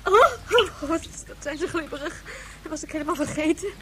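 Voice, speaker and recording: A woman's voice: extremely high pitch, animated, fairly quick, noisy background. A woman playing an anxious, coaxing mother in a science fiction radio play, urgent and pleading. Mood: squeamish, strained. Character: anxious, coaxing mother searching for her baby.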